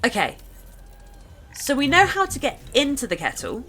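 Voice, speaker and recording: A woman narrates gameplay in a bright, fairly high voice. She leads the viewer along with a chirpy, lively lilt. Faint game music plays underneath. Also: moderate pace, slightly rough. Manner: chirpy, guiding.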